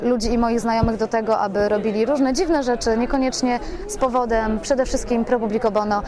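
Woman, slightly high voice, effusive, rambling interviewee, self-correcting, clear crowd chatter in the background. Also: steady tone, fairly quick. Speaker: radio play producer being interviewed. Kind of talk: spontaneous interview talk. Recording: busy crowd chatter behind voice. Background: crowd at a public event.

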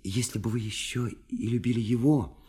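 An actor in a Russian radio play, voicing a shy, lovelorn young man who speaks haltingly and fervently, pleading and self-effacing. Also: mid-range voice, fairly even intonation, dark tone, breathy edge, lightly acted. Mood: sad, faltering.

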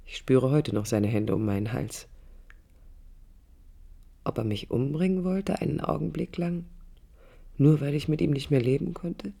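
An actress playing a disillusioned young divorced woman, recounting her past in a hushed, reflective, confiding radio play monologue. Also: deep voice, a little slow, long pauses, full character voice. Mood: bitter, searching. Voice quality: husky, breathy. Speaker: narrator and protagonist. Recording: music under studio radio drama. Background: music.

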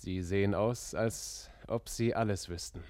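An actor in a German radio play, playing a charming, roguish drifter who woos a traveller in a seductive, intimate way. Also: male voice, on the low side, a little slow, a little mellow, lightly acted. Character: charming roguish local drifter wooing a traveller, by turns urgent.

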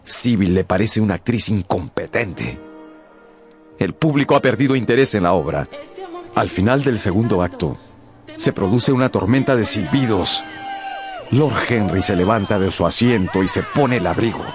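A male narrator tells a dramatic story for radio. His voice is very deep and moves expressively up and down. Music plays clearly behind him. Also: lively intonation. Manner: theatrical, deliberate storytelling.

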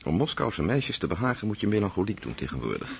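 A gentle, low-voiced family friend. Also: male voice, big pitch swings, quick pace, creaky, slightly rough, full character voice. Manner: gentle, probing. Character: thoughtful, kindly male family friend. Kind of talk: radio play acting.

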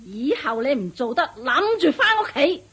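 A woman's voice: high-pitched, very animated, a bit bright, husky, heavily acted. A woman playing a shrewish, domineering and vengeful witch in a radio drama, nagging and taunting in Hong Kong Cantonese. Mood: taunting, threatening. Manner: nagging, taunting, drawn-out emphatic delivery. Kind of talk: theatrical radio drama acting.

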